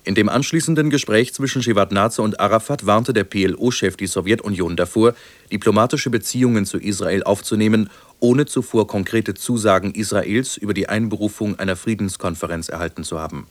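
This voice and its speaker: A man with a low voice reads scripted news in standard German. His delivery is formal, measured and factual. The sound is close and dry, with little room on it. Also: dry recording. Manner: measured, formal, matter-of-fact. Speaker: radio newsreader.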